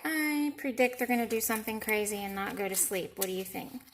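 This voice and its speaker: A woman teacher reading a picture book to young children, deliberate and explanatory, pausing to ask questions. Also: on the high side, a little hiss or hum. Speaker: elementary school teacher. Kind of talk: read-aloud lesson for young children.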